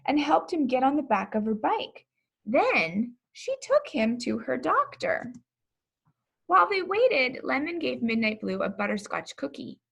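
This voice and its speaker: A woman reading a children's story aloud in an expressive, deliberate storytelling manner. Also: on the high side, creaky, slightly rough. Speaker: pastor reading a children's book.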